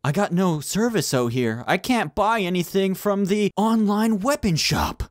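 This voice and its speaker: Animated young man leading gameplay. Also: sits high, unhurried, no room sound, clean studio sound, soft background music. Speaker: gaming video host, leading a group roleplay. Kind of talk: playful gameplay roleplay chat.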